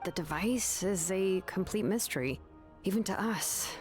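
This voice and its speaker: A woman's voice: mid-range voice, expressive, measured pace, a little mellow, faint music behind, lightly acted. A woman voicing a capable, practical ally in a video game, with a frank, businesslike manner. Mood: concerned.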